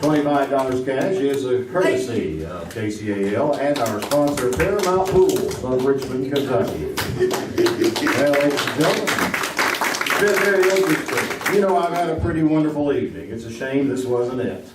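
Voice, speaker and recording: A man's voice: mid-range voice, expressive, moderate pace. A man hosting a live game show in a jokey, wisecracking manner. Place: audience hall with a stage. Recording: roomy, noisy recording from far off.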